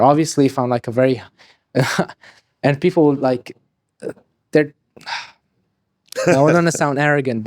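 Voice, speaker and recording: Young man, dry close sound, mellow tone, rambling, profane. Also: medium pitch, dry recording. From Hungary. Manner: rapid, rambling, swears freely, restarts sentences. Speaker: podcast guest. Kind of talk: casual interview talk.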